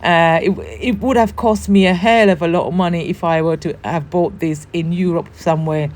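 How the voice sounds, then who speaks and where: A woman with a hoarse, worn voice from the flu, chatting casually and warmly as host of a gardening vlog, with frequent ums. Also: fairly low pitch, steady tone, moderate pace. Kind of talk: casual show-and-tell vlog.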